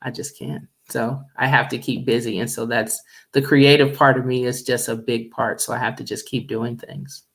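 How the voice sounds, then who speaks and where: Deep-voiced middle-aged woman, chatty guest. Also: flat delivery, normal speed. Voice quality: deep low voice. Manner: easygoing, chatty, laughs often. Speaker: guest singer. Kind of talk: casual radio interview chat.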